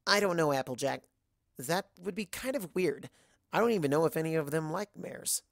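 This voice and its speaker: A shy young bookish mare in a storybook, acted out by a narrator. The voice is creaky and hesitant, politely reasonable and a little flustered, in a dry recording. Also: mid-range voice, lively intonation, moderate pace, dry recording, pitched above the performer's own voice.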